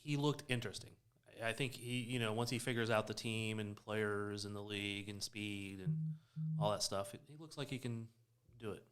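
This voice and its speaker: A man's voice: fairly low pitch, fairly even intonation, normal speed, fairly bright tone, smooth. An American man in a casual sports discussion, speaking as a podcast panelist.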